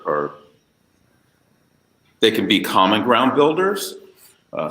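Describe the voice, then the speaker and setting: A man with a very deep voice speaks on a panel, earnest and emphatic, often pausing on fillers. His sound rings in an echoey room, as on a video call. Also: fairly bright tone. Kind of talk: panel discussion.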